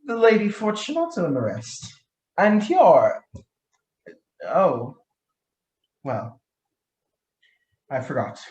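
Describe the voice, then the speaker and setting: A woman acting a drunken, trusting nobleman in a radio play, stammering and halting, with coughs and weak laughs breaking up the words. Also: on the low side, wildly swooping pitch, darkish tone, heavily acted. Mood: nervous false mirth. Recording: roomy, echoing sound.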